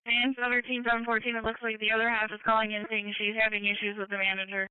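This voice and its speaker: A woman's voice: on the high side, level tone, fast, clear, resonant tone, dry recording. A woman working as a police dispatcher, talking over the radio on a scanner feed. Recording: tinny, narrow-band police scanner radio.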